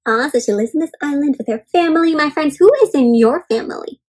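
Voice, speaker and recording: A woman reading a children's storybook aloud interactively, expressive and encouraging like a teacher, often turning to ask her young listeners questions. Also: high-pitched, fast. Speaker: children's read-aloud host.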